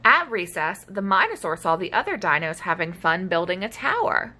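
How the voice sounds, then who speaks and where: A woman reads a children's story aloud as a story time host. Her delivery is lively and swings widely in pitch, with a bright tone. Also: moderate pace. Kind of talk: animated storybook read-aloud.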